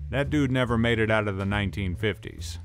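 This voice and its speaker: A middle-aged man narrates a scripted film documentary in a low voice, with music faintly behind him. His wording is colloquial, salted with hardboiled slang. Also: a little fast. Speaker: host, tough guy film expert.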